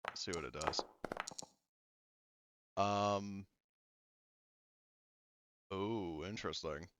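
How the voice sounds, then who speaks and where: Slow, low-voiced male game master. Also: fairly bright tone, clean tone, no echo. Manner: halting, many ums, counts dice aloud. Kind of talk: running a tabletop game, rolling dice.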